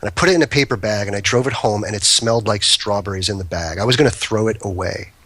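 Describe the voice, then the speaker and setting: A man's voice: on the low side, fast, fairly bright tone, husky, no echo. A man guesting on a talk show, telling long, rambling anecdotes and often breaking off mid-sentence. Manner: rambling, self-interrupting anecdotes. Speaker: guest, cannabis breeder. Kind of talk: casual storytelling chat.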